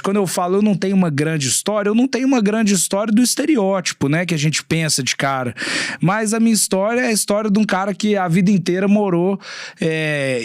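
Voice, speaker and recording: A man from Belo Horizonte with a Minas Gerais accent, a chef guest telling stories in a relaxed, chatty way on a podcast. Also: fairly high pitch, no room sound, studio quality. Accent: Minas Gerais Portuguese. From Belo Horizonte, Brazil. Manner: relaxed storytelling, laughs often. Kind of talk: casual podcast chat.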